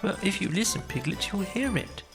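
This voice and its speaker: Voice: medium pitch, very animated, normal speed, music in the background, voice pushed up, close to an everyday voice, rough recording. A storybook narrator voicing a friendly, simple toy bear who speaks in a hesitant, guileless way. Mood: mild, hesitant. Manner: hesitant, guileless, with little ums.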